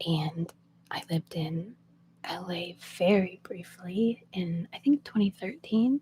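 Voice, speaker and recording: A young woman from Oklahoma, an ASMR host, chatting in a soft whisper with viewers on a live stream, answering their questions and laughing often. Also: on the low side, slight background noise. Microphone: phone.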